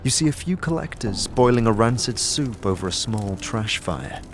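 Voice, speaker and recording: A man's voice: on the low side, animated, moderate pace, faint music behind. A man delivering scripted second-person narration as the narrator of a fantasy story.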